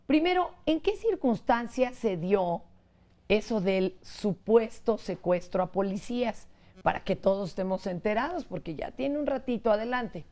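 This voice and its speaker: A woman hosting a TV talk show, chatty and quick with quips and interjections. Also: medium pitch, lively intonation, dark tone. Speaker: host. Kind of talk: casual chat. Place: television studio.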